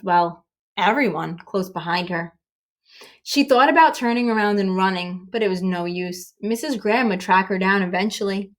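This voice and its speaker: A woman reading a children's story aloud expressively and emphatically, as if to young listeners. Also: mid-range voice. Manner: emphatic, acts out dialogue, pauses to address young listeners.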